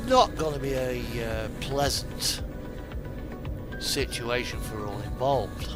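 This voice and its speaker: A man rambling casually as he streams, speaking haltingly with pauses mid-sentence. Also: medium pitch. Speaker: game streamer. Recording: electronic music playing behind the voice. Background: non-stop music from in-game radio, vehicle sounds.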